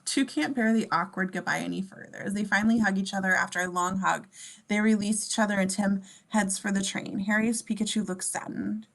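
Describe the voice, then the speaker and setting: A woman's voice: mid-range voice, fairly even intonation, fairly quick, gravelly, fairly bright tone. Female narrator, middle register, reading screenplay scene descriptions for a table read. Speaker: stage directions narrator.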